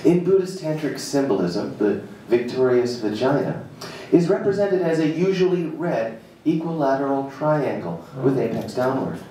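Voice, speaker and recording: A man giving a live dramatic reading of an essay, deliberate and emphatic, pausing between short phrases. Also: medium pitch, animated, warm tone. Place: live reading at a jazz club. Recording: echoey room, far from mic, faint noise.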